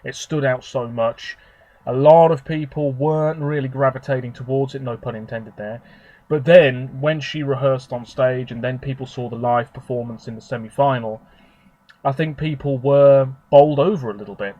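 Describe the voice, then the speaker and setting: Slightly hoarse man rambling about favourites. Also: mid-range voice, fairly even intonation, normal speed, dark tone, no room sound, muffled recording. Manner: rambling, chatty, opinionated. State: hoarse, sore throat. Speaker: video host. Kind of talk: casual solo commentary.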